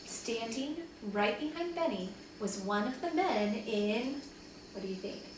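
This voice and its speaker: Female storybook reader, higher-pitched and very expressive, teacherly delivery, recorded at a distance in a reverberant room. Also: unhurried. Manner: animated, dramatizes dialogue for young listeners. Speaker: teacher reading aloud to second graders. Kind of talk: expressive children's storybook read-aloud. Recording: very echoey room, distant mic, faint noise.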